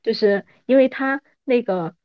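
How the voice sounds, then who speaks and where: Higher-pitched woman, thirties, teasing casual talk. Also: a little slow, dry recording, dull audio. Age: 30s. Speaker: podcast guest. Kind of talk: casual chat between old friends.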